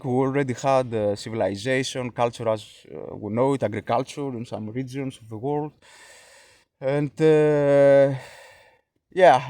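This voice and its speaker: Greek-accented man, halting explainer. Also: medium pitch, darkish tone, no room sound. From Greece. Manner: halting, many "uh" fillers and restarts. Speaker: vlogger explaining a philosophy idea. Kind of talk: casual explanatory monologue.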